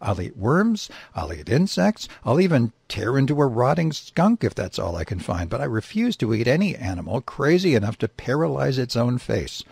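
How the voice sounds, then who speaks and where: Man voicing an exasperated, principled grizzly bear, husky. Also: medium pitch, sing-song, moderate pace, few pauses, a little mellow, dry recording, heavily acted. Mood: firm, principled. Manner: emphatic, indignant explaining.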